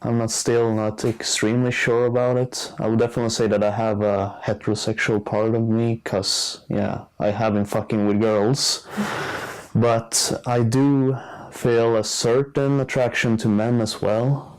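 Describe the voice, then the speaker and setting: A man's voice: mid-range voice, measured pace, smooth, rough recording. A young man from Sweden, a student, answering interview questions frankly and a little hesitantly. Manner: relaxed, frank, hesitant with fillers.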